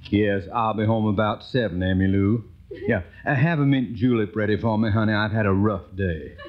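An actor playing a proud, courtly middle-aged Southern colonel with an American Southern accent in an old radio comedy. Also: male voice, lowish voice, moderate pace, lightly acted. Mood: courtly grumbling. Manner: pompous, genteel, easily indignant. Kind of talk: old-time radio sitcom acting. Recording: dull, noisy old radio recording.

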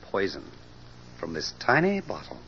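A man's voice: low-pitched, wildly swooping pitch, measured pace, a bit bright, breathy edge, full character voice. A man playing a shrewd, hard-nosed sleuth, clipped and commanding, in mid-century radio drama style. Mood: urgent, commanding. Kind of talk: 1940s-50s radio detective drama acting. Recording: noisy, muffled vintage radio recording.

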